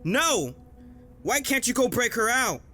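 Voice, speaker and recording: A young actor playing an upset son in a drama, pleading and questioning. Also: male voice, very high voice, big pitch swings, unhurried, over music, full character voice. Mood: pleading, agitated. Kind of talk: dramatic film-style acting.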